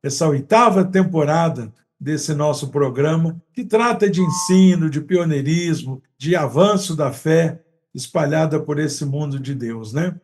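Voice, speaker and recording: A man's voice: on the high side, a little slow, darkish tone. A man with a Brazilian accent hosting an interview podcast in an effusive, courteous way. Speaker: podcast host. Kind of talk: chatty interview.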